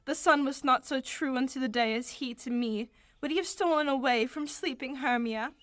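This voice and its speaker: A woman's voice: a little high, dry recording, lightly acted. A fiery young woman in love acted by a female in her late teens in an amateur radio drama. She speaks verse vehemently in a raised register. The sound is close and dry. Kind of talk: amateur 1940s-style radio play Shakespeare.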